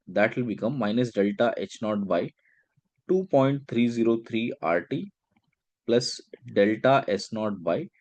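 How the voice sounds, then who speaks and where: A man speaks as a teacher walking through a worked problem in a lecture. His voice sits at an average pitch, and he explains each step, pausing to check in with brief questions. The sound is dry, with little room echo. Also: a little mellow. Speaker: chemistry teacher.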